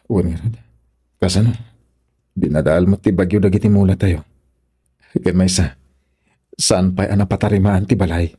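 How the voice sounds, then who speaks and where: A hardworking Filipino farmer and father in a radio drama, played by a male actor. His voice is low, dark and husky, that of a steady, reassuring husband. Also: normal speed, long pauses. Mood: concerned, weary.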